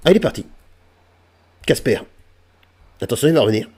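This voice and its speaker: A man's voice: mid-range voice, expressive, fairly quick, darkish tone, slightly rough. A man in casual, mocking banter with slang and swearing, trolling in an online roleplay game. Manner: mocking, cheeky.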